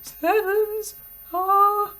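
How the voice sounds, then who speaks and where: A woman voicing a small, frightened schoolboy who cries out his answers in a high, shrill voice. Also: flat delivery, very slow, sharp tone, exaggerated voice. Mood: panicked. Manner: shrill, crying out.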